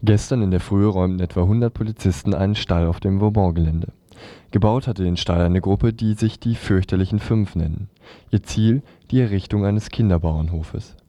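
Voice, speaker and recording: A man's voice: low voice, moderate pace, warm tone, no room sound. A man presenting scripted news on the radio.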